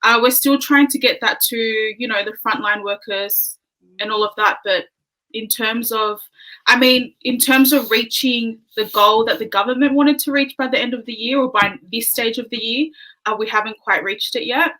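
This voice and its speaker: A fairly high, bright-voiced woman answers questions on a video call. She speaks in a friendly, modest way with plenty of "um"s. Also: normal speed, poor audio. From Australia. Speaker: podcast guest, early childhood educator. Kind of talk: casual interview chat.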